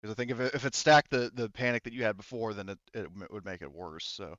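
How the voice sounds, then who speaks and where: A man's voice: fairly low pitch, fast, a bit bright, no room sound, lo-fi sound. A man acting as game master of a casual tabletop game, explaining rules and thinking aloud as he goes.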